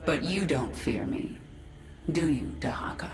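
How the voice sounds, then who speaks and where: A woman voicing a proud, menacing alien swarm queen in a video game, measured and commanding. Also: low-pitched, animated, heavy background noise. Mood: cool, threatening. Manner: measured, imperious. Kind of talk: video game cinematic acting. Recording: echoing voice over music.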